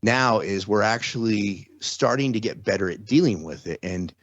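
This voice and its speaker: A man's voice: lowish voice, moderate pace, dry recording. A middle-aged man speaking as a guest in a casual interview, rambling with many restarts and tag questions. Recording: dry, dull lo-fi sound.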